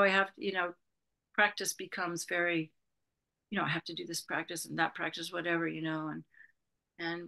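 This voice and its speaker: Gravelly, bright-voiced older woman. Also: on the low side. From Berkeley, California, USA. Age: 60s. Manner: rambling, restarts often, many "you know"s. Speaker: interview guest, Buddhist retreat leader. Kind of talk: reflective casual conversation.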